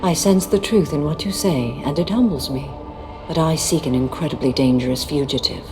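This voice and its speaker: An actress playing a calm, implacable warrior-monk in a video game, speaking with formal, stately care. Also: female voice, low-pitched, a little fast, music in the background, close to an everyday voice. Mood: solemn, courteous.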